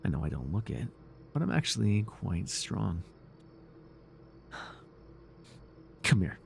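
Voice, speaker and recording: A man playing a devoted, obsessive cult servant and captor in an intimate ASMR roleplay, speaking reverently and haltingly, with sighs and stammers. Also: lowish voice, very animated, gravelly, slight background noise, exaggerated voice. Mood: tender, devoted.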